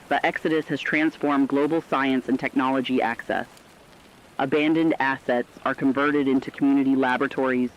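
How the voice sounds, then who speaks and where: A man reading a scripted, dramatized radio news report as an anchor in a formal, measured way. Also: medium pitch. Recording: slightly dull, band-limited radio sound.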